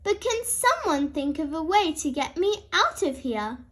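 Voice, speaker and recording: A woman's voice: very high voice, big pitch swings, unhurried, fairly bright tone, full character voice. A woman voicing a cheerful, confident mother pig from a storybook, reassuring and upbeat. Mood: dramatic.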